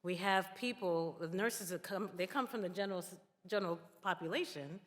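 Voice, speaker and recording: Low-voiced woman in a reverberant hall. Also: moderate pace. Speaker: nurse and panel speaker. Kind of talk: earnest panel remarks. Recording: echoey hall with long reverb.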